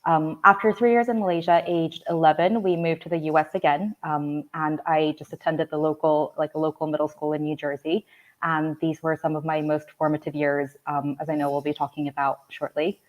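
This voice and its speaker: A woman's voice: deep voice, fairly even intonation, brisk, dull audio. A Korean-born woman in her thirties, a guest in a casual interview, chatty with frequent ums and laughs.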